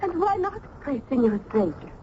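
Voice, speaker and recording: High-voiced, demure young maiden. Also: female voice, sing-song, moderate pace. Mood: shy curiosity. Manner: formal, hesitant, demure. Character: sheltered, shy young Italian maiden, curious and wistful. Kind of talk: old-time radio drama acting. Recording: dull, noisy old radio recording.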